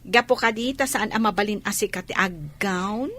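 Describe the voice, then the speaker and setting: A woman playing an animated, opinionated young female cousin in a radio drama. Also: medium pitch, normal speed, close to an everyday voice. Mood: teasing.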